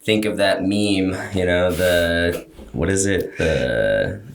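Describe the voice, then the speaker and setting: A man with a raspy, hungover voice, chatting as a podcast guest and hesitating often. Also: sits low, slow pace, roomy sound, slight background noise. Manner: hesitant, many fillers. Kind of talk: casual chat.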